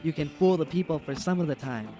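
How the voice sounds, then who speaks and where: A man's voice: fairly high pitch, fast talker, mellow, faint music behind, close to an everyday voice. A man playing an honest, stubborn underling in an audio drama, earnest and pleading toward his boss. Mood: firm, earnest. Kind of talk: theatrical radio-play acting.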